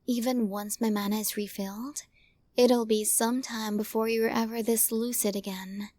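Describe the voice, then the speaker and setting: A woman playing a doting, possessive witch in an ASMR roleplay, coaxing and teasing softly up close, drifting into breathy whispers. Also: fairly high pitch, dry recording, lightly acted. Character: possessive, doting yandere witch, sweetly menacing and smug. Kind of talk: ASMR roleplay, intimate acting to listener. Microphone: close studio mic, dry.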